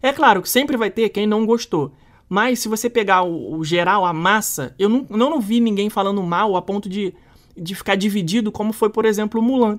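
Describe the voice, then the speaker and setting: A woman co-hosting a casual film review chat, talking in an animated, slangy and emphatic way. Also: a little high. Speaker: podcast co-host.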